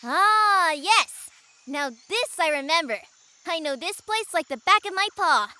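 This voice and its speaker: Small boastful animal companion for audio drama, very high and bright, dramatic delivery. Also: female voice, animated, measured pace, full character voice. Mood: proud, excited. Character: small excitable animal familiar with paws, boastful, theatrical.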